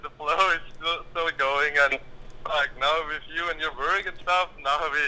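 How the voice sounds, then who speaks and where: A man chatting casually on a phone call, effusive and excited, heard over a speaker. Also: on the high side, normal speed, a little hiss or hum. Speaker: friend and collaborator on a phone call.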